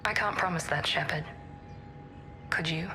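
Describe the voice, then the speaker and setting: A woman's voice: low-pitched, a little slow, bright, forceful tone, faint music behind. A woman playing a guarded, determined operative on the run in a video game, controlled and intense. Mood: resolute.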